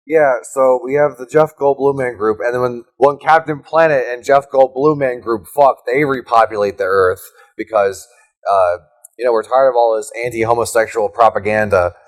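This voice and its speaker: Man, podcast co-host, medium-pitched voice, casual delivery, profane absurd riffing. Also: normal speed, poor audio. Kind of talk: casual comedic podcast banter.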